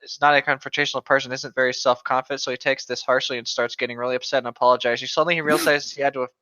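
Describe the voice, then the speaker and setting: A man's voice: mid-range voice, flat delivery, very fast, pushed and bright, no echo. A man co-hosting a podcast, casually reading a story aloud on a dull, lo-fi microphone. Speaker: podcast co-host.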